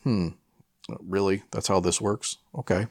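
Very deep, gravelly man chatting. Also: fairly even intonation, a little slow, no echo, studio quality. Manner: hesitant, searching for words, casually profane. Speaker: podcast co-host reviewing a film. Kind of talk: casual film chat.

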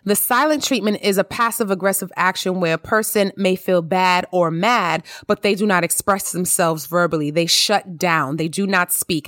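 A married woman hosting her own podcast, talking in an expressive, emphatic monologue with plenty of repetition. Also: lowish voice, dry recording, studio quality. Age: adult, married. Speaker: podcast host. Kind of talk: casual monologue.

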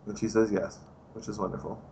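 A man's voice: lowish voice, fairly even intonation, fast talker, darkish tone, slight background noise. A man hosting a podcast, reading a film plot summary aloud and riffing on it with swearing and laughter. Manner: swears often, laughs, riffs on what he reads. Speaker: podcast host.

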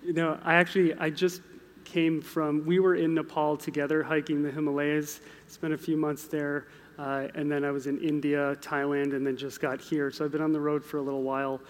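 A man's voice: a little high, little pitch movement, a little fast. A man with an American accent talking unscripted as an author on a live panel, with a wry, joking manner. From United States. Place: large indoor hall at a live museum event. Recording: reverberant hall at live event.

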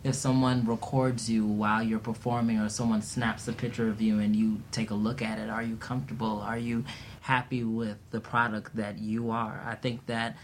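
Low, even-voiced man over rumbling noise. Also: normal speed. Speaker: singer, panel guest. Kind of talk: casual panel discussion.

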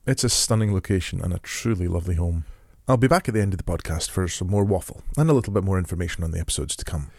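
Soft-spoken low-voiced male podcast host. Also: rapid-fire, few pauses, no room sound, studio quality. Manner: gentle, descriptive intro, then relaxed questions. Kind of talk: podcast interview. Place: rural home.

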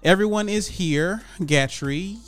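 A man's voice: high voice, slow, no room sound, soft background music. A man hosting a podcast, opening with upbeat greetings and chatting casually. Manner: animated, upbeat host greetings. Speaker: podcast host. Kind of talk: casual chat.

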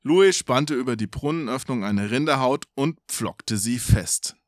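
A man's voice: medium pitch, lively intonation, unhurried, no echo, clean studio sound. A man reading a folk tale aloud expressively as a podcast storyteller, with casual asides.

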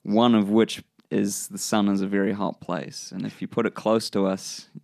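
Male co-host with a New Zealand accent, lowish gravelly voice, dry close recording, relaxed explainer with a dry wit. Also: normal speed, dry recording. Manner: relaxed explainer with dry humor, frequent ums. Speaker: podcast co-host. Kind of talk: casual science chat.

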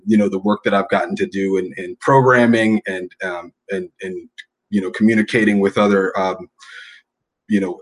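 A man's voice: deep voice, a bit bright, low-quality recording. A man speaking as a bar committee co-chair, hesitant and heavy with fillers and restarts. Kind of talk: informal spoken remarks.

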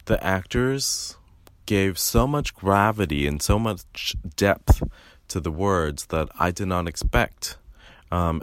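A man co-hosting a podcast, reflecting thoughtfully with pauses. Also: deep voice, no room sound, clean studio sound. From United States. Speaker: podcast co-host and filmmaker.

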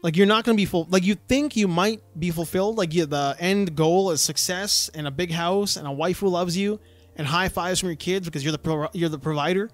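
A young man chatting casually on a podcast, an animated storyteller full of restarts and "like" fillers. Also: high-pitched, fairly quick, no room sound.

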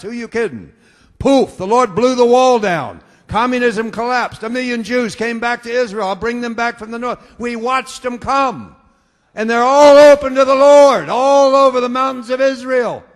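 A man from the United States preaching to a congregation, his slightly high voice climbing into loud, fervent hallelujahs before dropping back to quiet, earnest talk. The sound rings with the long reverb of a church hall. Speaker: pastor. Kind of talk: sermon.

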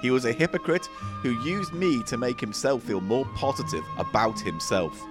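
A man's voice: mid-range voice, normal speed, over music. A man co-hosting a comedy podcast, bantering and laughing as he jokes. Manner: animated, laughing, jokey. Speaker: podcast co-host.

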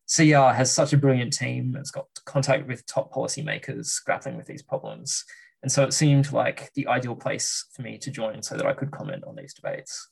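Gravelly Australian man explaining policy. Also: mid-range voice, big pitch swings, low-quality recording. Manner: measured, explanatory, with frequent ums and uhs. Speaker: research fellow, podcast guest. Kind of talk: policy podcast interview.

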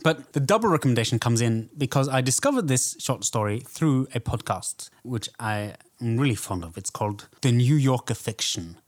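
Male podcast co-host, mid-range voice, casual and conversational, measured halting delivery. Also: mid-range voice, lively intonation. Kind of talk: casual film podcast chat.

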